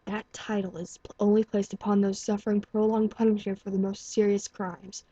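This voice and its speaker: A woman voicing a taciturn older male pony doctor who speaks in a measured, cool, stern way. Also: mid-range voice, little pitch movement, dark tone, husky, no room sound, dull audio, natural voice.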